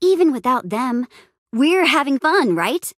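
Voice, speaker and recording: A spirited young heroine from an adventure video game. Her voice is high and swings widely in pitch, with a plucky, playful manner. The delivery is unhurried and the recording is close and dry. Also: big pitch swings, fairly bright tone, no room sound, full character voice. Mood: wistful, gentle. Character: spirited young female adventurer and town leader.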